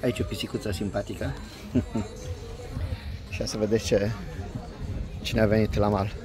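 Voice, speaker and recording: A man doing a casual food review vlog in short, unhurried, appreciative phrases, recorded outdoors on a handheld phone. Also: lowish voice, fairly even intonation. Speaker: food vlogger. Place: outdoor restaurant terrace by a lake.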